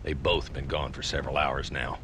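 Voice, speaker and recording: An actor playing a weary old-west town marshal with an American accent and a dry, sardonic manner in a video game cutscene. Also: male voice, a bass voice, little pitch movement, brisk. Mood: resigned, grateful. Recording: clear background ambience noise.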